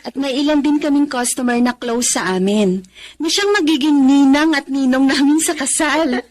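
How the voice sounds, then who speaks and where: A woman playing a gentle, respectful young wife and mother in a radio drama, with a Filipino accent. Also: high-pitched, moderate pace, lightly acted. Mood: earnest, hopeful. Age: young adult.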